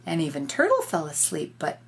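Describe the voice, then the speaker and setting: An older woman, a grandmother, reading a picture book aloud to a child in a gentle, explanatory way with informal asides. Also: deep voice, wildly swooping pitch, brisk, vocal fry.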